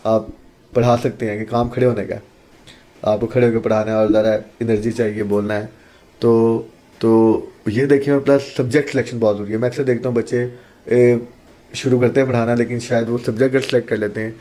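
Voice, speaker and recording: A man's voice: mid-range voice, little pitch movement, a little fast, warm tone. Earnest Pakistani man teacher. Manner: earnest, explanatory, mixes in English phrases. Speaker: accounting teacher, guest. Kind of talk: podcast interview answers.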